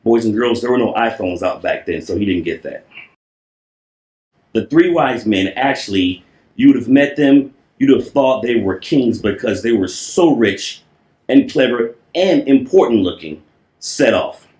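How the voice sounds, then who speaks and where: A man tells a children's story at an unhurried pace in an echoing room. His delivery is playful and theatrical, with drawn-out words and repeated phrases. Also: medium pitch, animated, a little mellow. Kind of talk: animated storybook read-aloud with playful asides. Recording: roomy, echoing voice recording.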